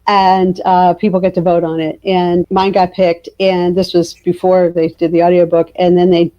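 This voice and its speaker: A woman's voice: lowish voice, flat delivery, normal speed. A woman who is an author, chatting casually and telling anecdotes as an interview guest. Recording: dull, muffled lo-fi sound.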